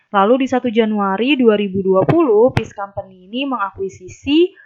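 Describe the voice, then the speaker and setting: Young woman tutor, dull lo-fi recording. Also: mid-range voice. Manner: methodical, step-by-step explaining. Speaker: accounting tutor. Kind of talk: instructional narration of a worked problem.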